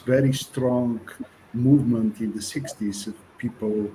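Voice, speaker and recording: A man's voice: medium pitch, steady tone, unhurried, warm tone, low-quality recording. Man from Bulgaria, earnest panelist, faint noise under the line. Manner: earnest, apologetic, hesitant. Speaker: panel participant. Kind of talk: discussion on a web forum call.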